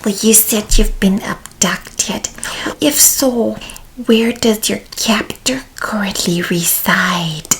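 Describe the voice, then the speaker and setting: A woman's voice: medium pitch, takes it slowly, fairly bright tone, a little hiss or hum, poor audio. A woman playing a self-important, condescending senior law partner on the phone in a theatrical ASMR comedy role play. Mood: puzzled, questioning. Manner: theatrical, condescending, calling people darling.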